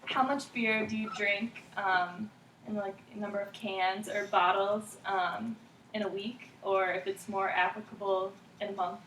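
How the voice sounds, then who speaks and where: A young woman in her twenties runs a student focus group, working through the rules with frequent ums. She is heard from across an echoey room. Her pitch sits in the middle of the range. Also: steady tone, a little slow, a bit bright, a little hiss or hum. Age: 20s. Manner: hesitant, many ums. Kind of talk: scripted moderating, reading rules. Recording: echoey room, far from the mic.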